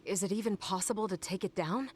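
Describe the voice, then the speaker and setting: A woman's voice: mid-range voice, quick pace, slight background noise, natural voice. A woman playing a serious, questioning monster hunter in a video game cutscene. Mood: serious, concerned.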